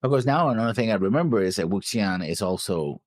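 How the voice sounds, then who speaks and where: A man's voice: fairly low pitch, expressive, fast, no echo, studio quality. A man co-hosting a reaction show in casual chat, rambling and thinking aloud as he talks. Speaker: co-host of an anime reaction show.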